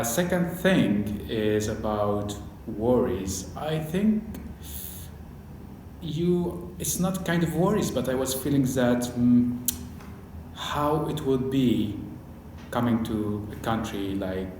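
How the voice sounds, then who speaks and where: Slow, halting man in an echoey room. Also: mid-range voice, darkish tone, a little hiss or hum. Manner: halting, searching for words. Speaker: PhD student. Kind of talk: casual interview. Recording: very echoey room, faint ambience.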